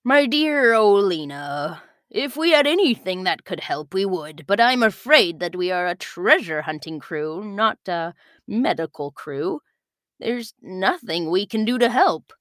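A woman reading as a gentle pirate captain. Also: medium pitch, lively intonation, no echo, studio quality. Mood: regretful. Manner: slow, careful and polite. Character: gentle, earnest adult male pirate captain.

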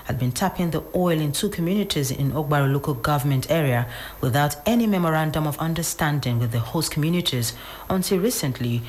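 A Nigerian woman reading scripted radio news in a formal, measured way. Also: deep voice, slight background noise. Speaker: radio newsreader.

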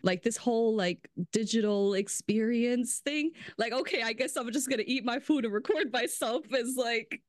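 A woman chatting casually as a podcast guest, talking about restaurants, full of "like" and quick laughs. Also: fairly high pitch, no room sound, studio quality. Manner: chatty, fills speech with "like", laughs often. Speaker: brand strategist guest.

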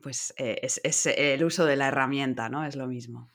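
Calm woman guest, measured speech. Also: extremely low pitch. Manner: calm, measured, laughs easily. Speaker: podcast host, interview guest. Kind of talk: casual conversational interview.

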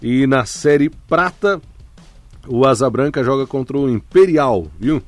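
A man's voice: mid-range voice, measured pace, faint music behind. A man hosting sports radio, announcing local matches and slipping in dry jokes. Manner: wry, deadpan jokes. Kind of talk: casual banter and sports announcements.

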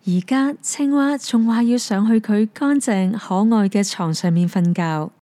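A woman with a Hong Kong Cantonese accent gently narrating a fairy tale. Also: medium pitch, a little slow, no room sound. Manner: gentle, even storytelling. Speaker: storyteller. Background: soft piano music.

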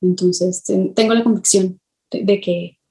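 A woman with a soft-edged, mellow voice at a medium pitch. She talks as a guest in a reflective interview, pausing often to find the right word. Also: steady tone. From Colombia. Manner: thoughtful, halting, searching for words. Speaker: illustrator, podcast guest.

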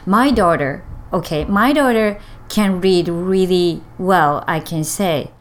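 A woman co-hosts a relaxed English-learning chat, talking at an unhurried pace. Her voice is rough and hoarse from a lingering cold, and she often stops on fillers while she hunts for the right word. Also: fairly low pitch. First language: Japanese. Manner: searching for words, many fillers. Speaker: podcast co-host.

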